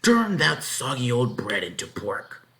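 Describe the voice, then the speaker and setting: A man's voice: mid-range voice, huge pitch swings, a little slow, creaky, a bit bright, pitched above the performer's own voice, heavily acted. A man voicing a stern, exasperated farmer's wife with a commanding, exclaiming manner in a read-aloud story. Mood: angry.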